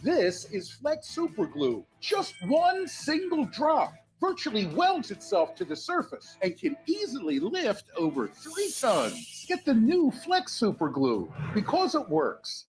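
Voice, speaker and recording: A man doing a punchy television advertisement pitch as a product salesman. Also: wildly swooping pitch, unhurried, hardly any pauses, faint music behind, low-quality recording.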